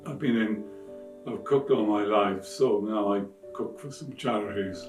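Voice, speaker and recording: An older retired man answering interview questions, with soft music behind him. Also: lowish voice, measured pace, recorded from a distance. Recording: echoey room, off the mic. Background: soft guitar music.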